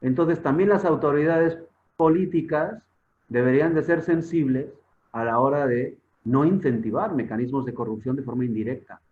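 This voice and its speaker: A man's voice: medium pitch, moderate pace. A man born in Spain speaking as a guest expert in an online forum, emphatic, with rhetorical questions and repeated words while he searches. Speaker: guest expert on public security. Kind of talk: conversational lecture in an online forum. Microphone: video call. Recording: tinny phone-like online call audio.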